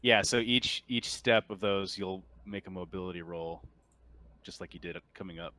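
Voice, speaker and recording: A man's voice: deep voice, moderate pace, bright, forceful tone. A man acting as game master in a tabletop roleplaying session, explaining things in a matter-of-fact way.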